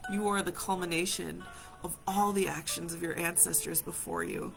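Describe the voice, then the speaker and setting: A woman from Hawaii leading a reflective live session as a community healer, speaking gently and reassuringly to viewers and repeating phrases for emphasis. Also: on the low side, sing-song, normal speed, creaky, over music.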